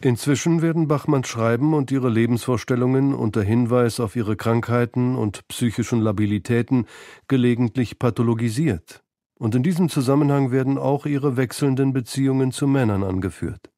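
A mellow male voice narrates a literary radio feature. He reads his script in a measured, sober way, in a dry, close sound at a middle pitch. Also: no echo.

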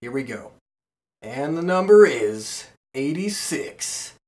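A man's voice: medium pitch, very animated, takes it slowly, a little mellow. A man hosting a wrestling podcast, chatting casually and informally with co-hosts. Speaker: podcast host.

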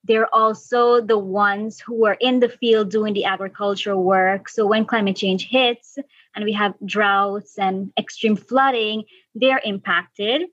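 Young woman, podcast guest, conversational, medium pitch, slightly dull lo-fi audio. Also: fairly even intonation, measured pace, a bit bright, no room sound. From Guyana. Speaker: podcast guest, environmental activist. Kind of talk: conversational interview answers.

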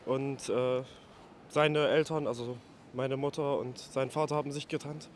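A man's voice: medium pitch, steady tone, measured pace, a little hiss or hum. A young man answering a street interview outdoors, casual and candid. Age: young adult. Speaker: passer-by interviewee.

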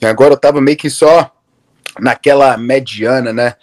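A man's voice: medium pitch, moderate pace. Brazilian man chatting on a video call. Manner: relaxed, rambling with many restarts and fillers. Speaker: interview guest, MMA coach. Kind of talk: casual video-call interview. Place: room at home, indoors.

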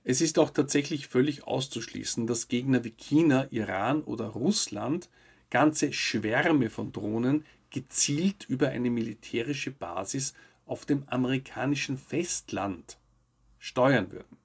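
Gravelly, husky middle-aged man presenting news. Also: medium pitch, unhurried. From Austria. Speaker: host of a weekly UFO news show. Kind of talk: scripted news commentary to camera.

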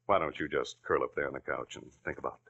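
A scheming, smooth-talking crook in a vintage radio crime play. His voice is low, his manner cocky and brusque with a cajoling streak. The recording sounds muffled. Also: fast, bright, forceful tone, full character voice. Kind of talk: 1940s radio acting.

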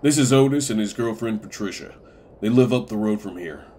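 A man's voice: lowish voice, fairly quick, mellow, a little hiss or hum, lightly acted. A man playing a stern, plain-spoken older farm patriarch in a comic dub. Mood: calm, grave.

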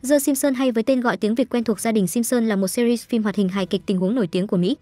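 A woman's voice: on the high side, fast talker, warm tone, slight background noise. A woman reading scripted entertainment news as a narrator. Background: background music.

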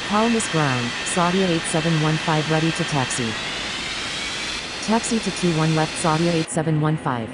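A woman's voice: low voice, normal speed, lightly acted. A woman playing a matter-of-fact airport radio voice in a simulation game, speaking clipped, formulaic aviation phrases. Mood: businesslike. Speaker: air traffic control and pilot radio voice. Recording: hissy, clearly noisy radio-style audio.

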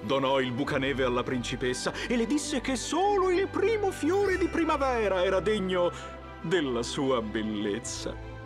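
An actor voicing a sentimental, theatrical bird who tells fairy tales in a cartoon with drawn-out, emphatic delivery. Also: male voice, sits high, sing-song, music in the background. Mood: lyrical, dramatic. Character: theatrical, sentimental wading bird storyteller with grand airs. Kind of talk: dramatic fairy-tale storytelling for a cartoon.

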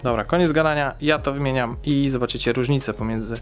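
A man's voice: mid-range voice, fast, faint music behind. A young man in his twenties explaining a car engine repair step by step in a casual, chatty way, with frequent fillers.

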